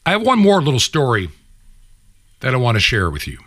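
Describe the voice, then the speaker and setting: A man's voice: mid-range voice, wildly swooping pitch, fairly quick, fairly bright tone, no room sound. Man, talk radio host, preachy and indignant commentary, emphatic repetition, dry studio-close sound. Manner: rhetorical questions, emphatic repetition. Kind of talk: opinionated monologue commentary.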